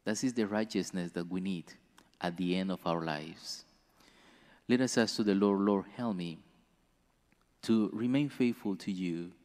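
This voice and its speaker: Deep-voiced priest in a reverberant church. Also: male voice, darkish tone. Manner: slow, measured delivery with careful pauses. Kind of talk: gospel reading and homily. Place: church sanctuary. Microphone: stand mic at a lectern.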